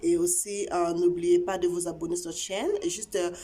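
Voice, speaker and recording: Halting woman host. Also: on the low side, little pitch movement, dark tone, slightly rough. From Congo (DRC). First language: Tshiluba. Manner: halting, many repeated words and fillers. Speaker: channel host. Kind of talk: casual chat to viewers.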